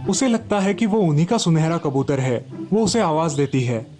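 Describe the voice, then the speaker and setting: A man's voice: on the high side, expressive, normal speed, music in the background. A man narrating a scripted children's story as the storyteller.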